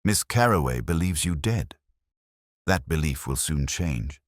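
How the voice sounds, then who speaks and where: A man playing a grave, calm, commanding Victorian detective, speaking in a measured, quietly incisive way in an audio mystery. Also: extremely low pitch, dry recording, clean studio sound. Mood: calm authority.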